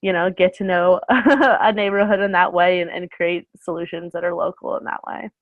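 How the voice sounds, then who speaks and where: A woman's voice: fairly low pitch, fairly even intonation, normal speed, dry recording. A woman in her twenties, a guest talking casually on a video call, rambling with many fillers and self-corrections. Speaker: guest speaker. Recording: tinny phone-like call audio.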